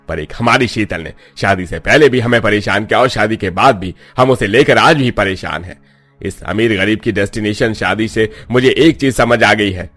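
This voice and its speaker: A man's voice: fairly low pitch, a bit bright. A man voicing an exasperated middle-aged father of a bride in a cartoon story, grumbling and resigned.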